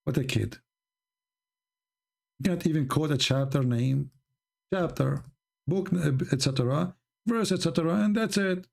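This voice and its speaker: Male stream host, native Arabic speaker, gravelly and husky, impatient and mocking, keeps interrupting. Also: on the high side, moderate pace, pauses often. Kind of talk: heated live-stream debate.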